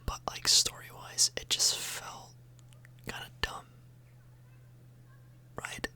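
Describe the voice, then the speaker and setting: A woman speaking in a breathy, unvoiced whisper close to the microphone, rambling informally and a little hesitantly in an ASMR style. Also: slow, sharp tone. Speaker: ASMR video host.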